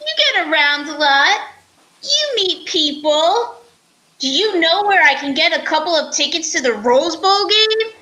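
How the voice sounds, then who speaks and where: A woman playing a slow-talking male police inspector, a coaxing and theatrical suitor in an old-time radio show. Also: very high voice, very animated, pushed and bright, a little hiss or hum, heavily acted, poor audio.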